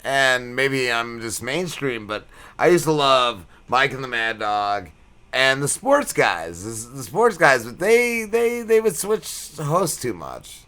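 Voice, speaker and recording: Casting: male podcast host, very animated pitch, forceful bright tone, informal and enthusiastic chat. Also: slow. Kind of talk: casual interview chat.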